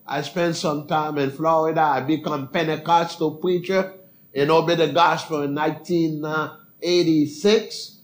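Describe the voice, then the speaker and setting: An older man with a Haitian accent, speaking as a missionary preacher giving an informal presentation in halting, short phrases of non-native English. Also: on the high side.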